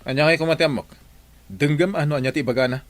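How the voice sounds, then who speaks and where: A man's voice: on the high side, racing, no room sound, lightly acted. A man with an Ilocano accent playing a bossy, testy employer and uncle in a radio drama.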